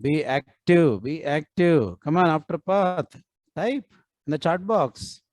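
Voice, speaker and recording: A man's voice: fairly high pitch, expressive, slow, a little mellow, no echo. A man teaching a live online coding class, nudging his students to respond and repeating his prompts. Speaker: programming instructor.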